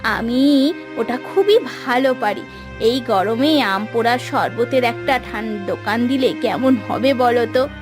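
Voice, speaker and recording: A woman voicing a hardworking, hopeful village housewife in a cartoon, earnest and soft-spoken. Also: a little high, measured pace, over music, voice pushed down, lightly acted.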